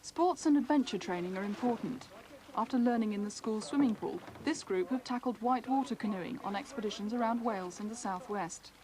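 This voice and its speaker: A woman's voice: mid-range voice, fairly quick, a little mellow. A British woman reading scripted documentary narration as a news reporter. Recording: faintly noisy archive newsreel.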